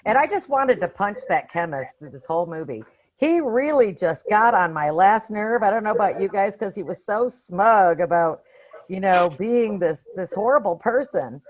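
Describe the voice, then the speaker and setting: A woman's voice: medium pitch, lively intonation, moderate pace. A woman in a casual discussion as a podcast panelist, speaking in an informal, opinionated, slangy way. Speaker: podcast panelist reviewing films.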